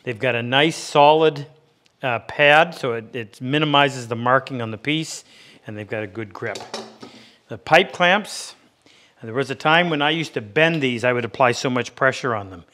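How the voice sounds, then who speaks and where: Male workshop instructor, 50s, medium pitch, plain-spoken and practical, explaining techniques as he demonstrates. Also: normal speed, sharp tone. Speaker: woodworking instructor and host. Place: indoor woodworking workshop.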